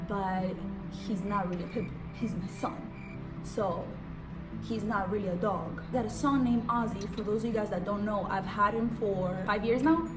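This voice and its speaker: A young woman born in Cuba and raised in Israel, whose first language is Hebrew, rambling fast and slangy through a casual vlog in a room set up for filming. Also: mid-range voice, low-quality recording. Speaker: vlogger. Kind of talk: casual get-to-know-me vlog. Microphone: camera mic.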